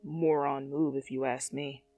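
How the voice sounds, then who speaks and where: A woman voicing a cocky, blunt teenage delinquent boy in an audio fan story, gruff and dismissive. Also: extremely low pitch, flat delivery, a little slow, dark tone, soft background music, pitched below the performer's own voice. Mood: smug.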